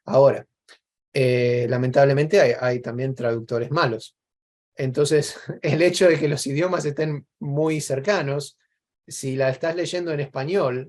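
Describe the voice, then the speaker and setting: A man's voice: mid-range voice, a little mellow. A man with an Argentine accent in casual, reflective conversation as an interview guest, explaining things discursively with examples.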